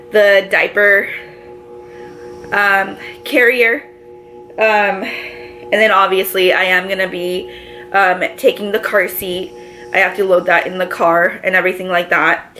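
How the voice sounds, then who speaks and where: A pregnant young woman with a raspy, allergy-congested voice, chatting casually to camera from her living room couch, full of filler words. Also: medium pitch, sharp tone, low-quality recording. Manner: chatty, lots of filler words, gestures with both hands. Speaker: vlogger. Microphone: camera in front, medium framing.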